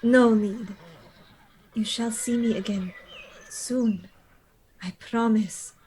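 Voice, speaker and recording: A woman plays a mysterious young lady in a period radio play. She speaks very slowly and graciously in a fairly high, languid voice, formal and courteous, with clear noise behind her. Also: fairly even intonation, darkish tone. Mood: languid, secretive. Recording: clear background noise under the voice.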